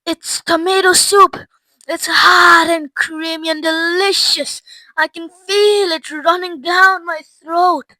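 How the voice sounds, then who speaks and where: A woman's voice: high-pitched, big pitch swings, slow, a bit bright, no echo, voice pushed up, full character voice, low-quality recording. A woman voicing a gleeful, boastful young girl in a storybook, savoring and drawing out her exclamations. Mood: savoring, delighted.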